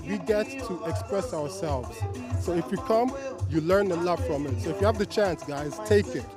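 A man's voice: fairly high pitch, lively intonation, normal speed. A man answering interview questions as a community organizer, earnest and stumbling a little. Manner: earnest, with restarts. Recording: music in the background.

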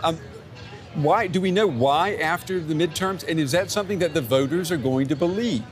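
A man anchoring a news broadcast, reading scripted links in a measured way and questioning guests. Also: a little high. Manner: measured, scripted delivery with occasional ums in interviews.

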